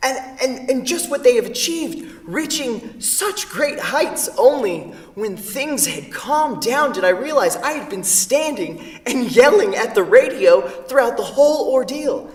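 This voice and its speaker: An echoey, distant stage recording carries an actor as an earnest young man in a comedy. He speaks haltingly, with dry wit and a storyteller's passion. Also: very high voice, sing-song, full character voice. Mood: excited, swept up. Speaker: actor in a staged play reading. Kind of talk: staged reading of a comedic two-person play. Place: theatre stage, picked up from a distance. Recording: echoey theatre hall, distant microphone.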